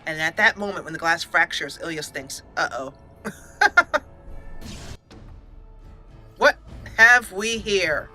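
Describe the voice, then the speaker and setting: A woman's voice: on the low side, lively intonation, slow pace, long pauses, bright, forceful tone. A woman hosting a let's play, chatting through her gameplay in an upbeat way and reading the game's text aloud as roleplay narration. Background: faint game music.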